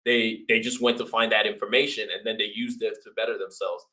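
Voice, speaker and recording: Bright, forceful man telling casual personal stories. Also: fairly low pitch, fairly even intonation, a little fast. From Nigeria until age twelve, then the United States. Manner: informal, slangy, quoting himself and others. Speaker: interview guest. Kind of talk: casual storytelling chat.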